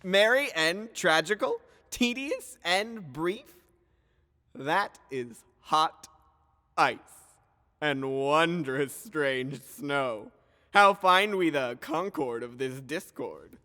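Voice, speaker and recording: A man playing a grand, genial yet skeptical duke of Athens, declaiming in a measured, theatrical Shakespearean style. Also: a little high, expressive, pushed and bright, full character voice. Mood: jovial, eager. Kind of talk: theatrical Shakespearean audio drama acting. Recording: long reverberant hall echo.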